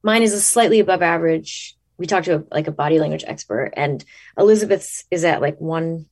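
A woman actress talking casually in an interview, thinking aloud and restarting her sentences, her pitch jumping up when she makes a point. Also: brisk, a little mellow. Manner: rises in pitch when emphatic.

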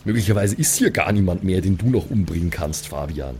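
A man's voice: deep voice, expressive, a little fast, darkish tone, slight background noise. A man playing a tabletop game, speaking casually in a hesitant, questioning way. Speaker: tabletop RPG player.

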